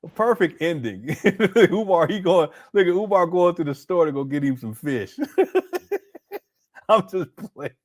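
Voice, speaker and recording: A man's voice: a little high, lively intonation, measured pace. A man commenting live on a video clip, laughing often and teasing with sarcasm. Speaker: commentator reacting to a livestream.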